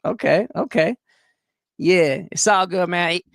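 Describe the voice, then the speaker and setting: A man's voice: high-pitched, slow pace, vocal fry, no room sound, studio quality. A man hosting a casual livestream talk, laid-back, laughing often and reading out chat comments. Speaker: livestream host.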